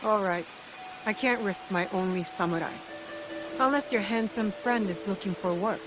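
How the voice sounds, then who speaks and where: An actress playing a guarded but caring female fighter in a video game, frank and gently firm. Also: medium pitch, moderate pace, over music, lightly acted, poor audio. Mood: probing, wry.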